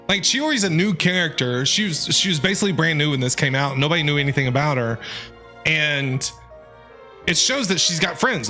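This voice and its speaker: A man's voice: on the high side, pushed and bright. A man doing casual, enthusiastic live game commentary as a streamer, repeating his exclamations. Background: game music.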